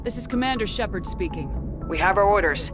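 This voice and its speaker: A woman's voice: medium pitch, expressive, a little fast, over music, natural voice. A woman playing a stern, determined military starship commander in a video game. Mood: rousing resolve.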